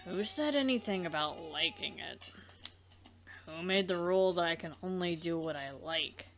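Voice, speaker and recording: A woman's voice: lowish voice, normal speed, a bit bright, soft background music. A woman voicing a blunt, defensive young female alien cleaner in an amateur visual novel dub, curt and foul-mouthed. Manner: irritable, curt, profane.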